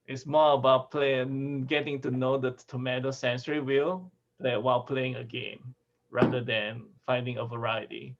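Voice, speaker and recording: A man from Malaysia, a food sensory scientist, giving a relaxed talk over a video call with restarts and chuckles. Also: medium pitch, normal speed. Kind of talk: casual video-call presentation. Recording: dull lo-fi video call audio.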